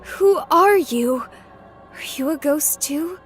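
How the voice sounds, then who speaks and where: A woman playing an excitable, jokey young streamer in a haunted hospital video game, expressive and rambling. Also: high-pitched, slow, soft background music. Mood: nervous, joking. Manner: expressive, rambling, wisecracking to an unseen audience.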